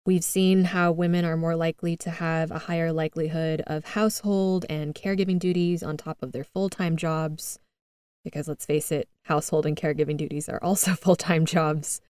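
A woman's voice: low-pitched, little pitch movement, normal speed, a little mellow, clear, resonant tone, no echo. An American woman hosting a podcast, reading an earnest, upbeat scripted monologue with light laughs. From United States. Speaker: podcast host.

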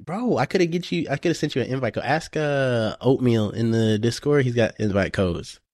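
A man's voice: medium pitch, fairly quick, a little mellow, no echo, clean studio sound. A man co-hosting a podcast, telling stories in a laid-back casual chat full of "bro" and "you know". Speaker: podcast co-host.

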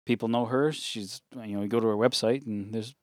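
A man's voice: lowish voice, steady tone, brisk, dry recording, clean studio sound. A man co-hosting a podcast in casual chat, hesitant and full of fillers. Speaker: podcast co-host.

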